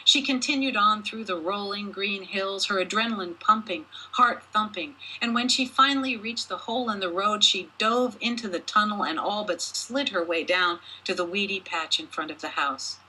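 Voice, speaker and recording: A woman author reading her own short story aloud as expressive storybook narration, with deliberate, dramatic phrasing. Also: medium pitch, a little hiss or hum, poor audio.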